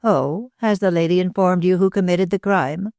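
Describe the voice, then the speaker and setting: A reader voicing an older lawyer who is formal, guarded and quietly nervous. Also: mid-range voice, moderate pace, dry recording, clean studio sound, natural voice.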